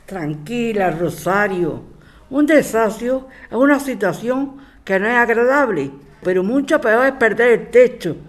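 A woman playing a steady, reassuring resident who faces eviction in an audio drama. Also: a little high, a little slow. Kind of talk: scripted audio drama acting.

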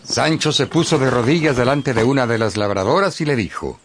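A man narrating a radio drama in a lively scripted storytelling style. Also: medium pitch, brisk, slight background noise.

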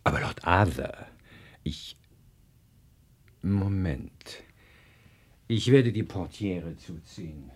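Husky, fussy, shifty fortune-teller. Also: male voice, sits low, animated, slow pace, pauses often, exaggerated voice. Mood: fussily businesslike. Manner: fussy, obsequious, evasive. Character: shifty, mercenary palm reader, fussily polite. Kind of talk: German radio play acting.

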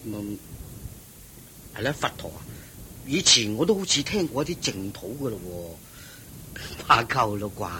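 An actor playing a complacent, evasive rich householder in a Cantonese radio drama, hearty and self-satisfied, with a Hong Kong accent. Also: male voice, mid-range voice, expressive, moderate pace, pauses often, pushed and bright, slight background noise, poor audio. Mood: complacent, cheery.

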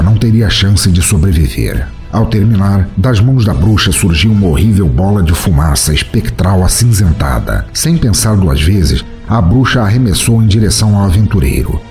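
A man's voice: deep voice, darkish tone. A man with a Brazilian accent reading a scripted fantasy story as narrator.

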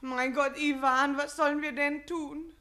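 Distraught wife in radio play, melodramatic, exclaiming, high sharp voice. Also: female voice, flat delivery, a little slow. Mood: reproachful. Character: excitable, distraught wife of a civil servant. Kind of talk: theatrical radio comedy acting.

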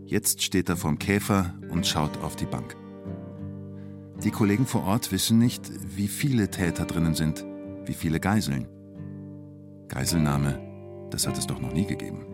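An actor playing a narrator in a radio play, telling events in clipped, colloquial present-tense phrases. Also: male voice, low voice, unhurried, long pauses, music in the background. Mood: terse. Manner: terse, colloquial, short phrases.

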